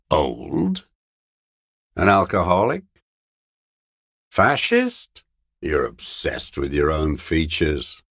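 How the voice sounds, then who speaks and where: An actor playing a bitter old communist deserter in a video game, brooding and ranting with contempt. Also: male voice, a bass voice, vocal fry, a bit bright, no room sound, studio quality, exaggerated voice. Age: older. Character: bitter old deserter, die-hard communist soldier, male. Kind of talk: video game character dialogue.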